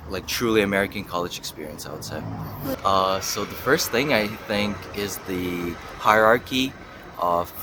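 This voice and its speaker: A man's voice: deep voice, noisy background. A young man giving casual interview answers as a university student, thinking aloud and hedging as he goes. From Russia and the US. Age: young adult.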